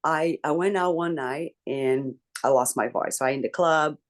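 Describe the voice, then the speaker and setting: A woman with a deep voice, earnestly telling a personal story to her book club over a video call. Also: normal speed. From Brazil. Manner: earnest, candid, reflective storytelling. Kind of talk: personal story in a group discussion.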